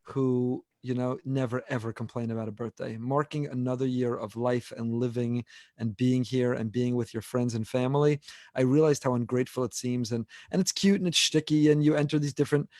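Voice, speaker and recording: A man's voice: medium pitch, fairly even intonation, a little fast, darkish tone, dry recording, studio quality. A man in his forties, a rabbi hosting an unscripted podcast discussion, talking earnestly and repeating words as he builds his point. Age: 40s.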